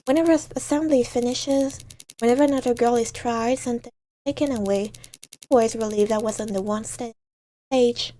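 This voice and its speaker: A woman's voice: fairly high pitch, fairly even intonation, normal speed, mellow. A woman reading visual novel narration aloud in a dramatic storytelling way, now and then stumbling over words.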